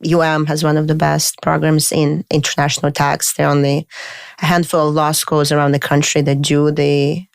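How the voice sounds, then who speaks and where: A woman from Moldova in her thirties speaks as a podcast guest, steady and explanatory, often restarting her sentences. Her voice sits low and sounds clean and resonant. The recording is dry and close to the mic. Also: gravelly, clear, resonant tone, no echo. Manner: measured, explanatory storytelling with frequent restarts. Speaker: guest lawyer. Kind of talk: podcast interview.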